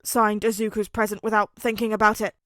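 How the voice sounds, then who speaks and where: A woman voicing a flustered middle-aged man who speaks slowly and hesitantly. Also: mid-range voice, level tone, fairly bright tone, dry recording, clean studio sound, lightly acted. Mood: sheepish. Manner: hesitant and slow.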